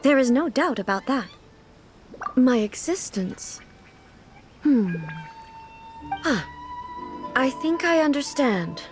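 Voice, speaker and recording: Solemn sovereign, video game: measured, slow, formal, slightly high voice, naive about modern things. Music behind. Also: female voice, sing-song, exaggerated voice. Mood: resolute, reflective. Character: dignified, solemn female ruler bewildered by modern everyday things. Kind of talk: English video game voice acting.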